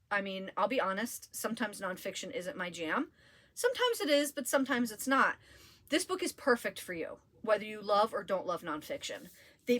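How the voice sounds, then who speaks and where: Animated, higher-pitched woman chatting about favorites. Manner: enthusiastic, gushing, emphatic. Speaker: librarian giving book recommendations. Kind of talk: casual, chatty book review.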